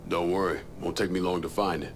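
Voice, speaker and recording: A terse, hard-edged hero speaking in short lines , voiced by a man. His voice is low and steady. He never says more than he needs to. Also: fairly quick, a little hiss or hum, lightly acted. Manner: curt, clipped, tough. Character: terse tough male protagonist, a war veteran.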